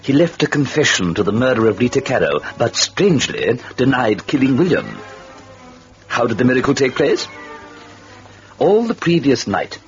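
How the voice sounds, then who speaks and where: An actor playing a sardonic, gloating Devil who narrates a radio horror tale in a wry, theatrical, knowing manner. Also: male voice, mid-range voice, big pitch swings, normal speed. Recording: dull old radio recording with music.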